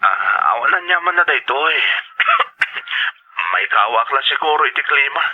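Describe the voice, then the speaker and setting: A man's voice: medium pitch, animated, normal speed. A man playing a devoted, hardworking father calling from abroad in an audio drama, through a phone filter, with an Ilocano accent. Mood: affectionate. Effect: phone call filter. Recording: thin, narrow telephone line sound.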